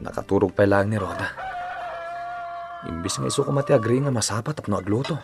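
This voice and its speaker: A man's voice: lowish voice, quick pace, gravelly, music in the background. An actor playing a hardworking, long-suffering husband and father in a radio drama, weary and mildly reproachful. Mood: weary, exasperated. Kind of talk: Ilocano radio drama acting.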